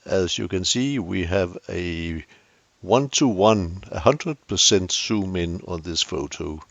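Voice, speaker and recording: A man's voice: deep voice, takes it slowly, fairly bright tone, dry recording, dull audio. An older Danish man with a Danish accent, hosting a camera tutorial with dry, self-deprecating humor. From Denmark. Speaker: tutorial host.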